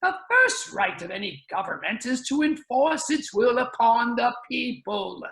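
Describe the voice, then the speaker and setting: Slow, blustering woman acting an indignant government official. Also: a little high, very animated, gravelly, fairly bright tone, roomy sound, full character voice, low-quality recording. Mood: indignant, demanding. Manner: declamatory, theatrical. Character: blustering, indignant, scowling futuristic government official named Smit. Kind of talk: dramatic reading of science fiction. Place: online video call reading.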